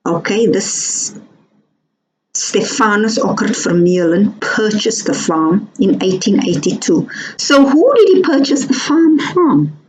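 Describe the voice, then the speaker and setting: A South African woman, a native Afrikaans speaker, talking casually to her viewers with pauses and asides. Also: mid-range voice, big pitch swings, darkish tone, a little hiss or hum, poor audio. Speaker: vlogger. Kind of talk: casual commentary to camera.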